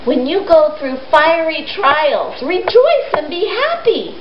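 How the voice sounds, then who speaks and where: A woman's voice: high-pitched, sing-song, slow pace, pitched above the performer's own voice, full character voice. A woman voicing a kindly male shepherd who teaches patiently and earnestly in a children's story. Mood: instructive. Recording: roomy echo, dull sound, faint noise.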